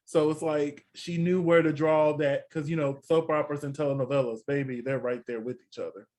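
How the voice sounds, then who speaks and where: Sassy Texan man, slightly high voice. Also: fairly even intonation, brisk, a little mellow. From Texas. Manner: chatty, sassy asides like "honey". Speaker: podcast co-host. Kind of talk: casual TV recap chat.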